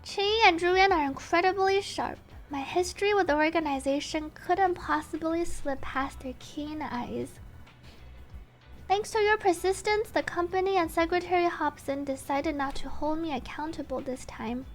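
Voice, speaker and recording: A woman's voice: very high-pitched, moderate pace, soft background music. A woman voicing a composed, dutiful female bodyguard as she reads game dialogue aloud. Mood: composed, reserved.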